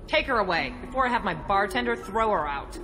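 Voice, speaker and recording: A woman's voice: mid-range voice, animated, a little fast, music in the background, natural voice. A woman playing a stern, unyielding bar owner in a video game, curt and cold. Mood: stern, contemptuous.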